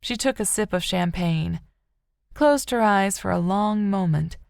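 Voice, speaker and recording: A woman's voice: mid-range voice, a little slow, creaky, clear, resonant tone, no echo, studio quality. A woman reading scripted fiction as an audiobook narrator.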